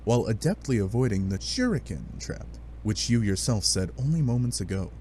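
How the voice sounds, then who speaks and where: A man giving animated, sardonic narration of a comic adventure, with playful dramatic asides. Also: on the low side, fairly quick, a little hiss or hum. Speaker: narrator of a fan dub read-along.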